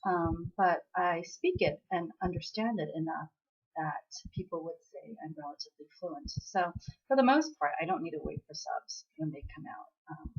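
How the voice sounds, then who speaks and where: A woman's voice: lowish voice, lively intonation, moderate pace, vocal fry, breathy edge, highs cut off. An American woman who vlogs about dramas, chatting in a meandering way with lots of fillers. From United States, born in Korea. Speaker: drama vlogger. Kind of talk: casual rambling chat.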